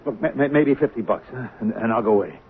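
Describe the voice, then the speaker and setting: An actor playing a bullying, hard-drinking, jealous husband in a 1970s radio drama. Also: male voice, medium pitch, fast, lightly acted. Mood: pleading, nervous. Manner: menacing, blustering. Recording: tinny, band-limited old radio broadcast, faint noise.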